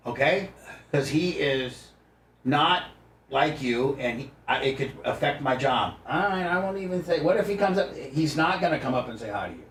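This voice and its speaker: A man's voice: on the high side, expressive, moderate pace, a bit bright. A male radio host bantering casually and profanely in a blunt, self-deprecating way, picked up roomy and from a distance. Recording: roomy, distant, faintly noisy pickup.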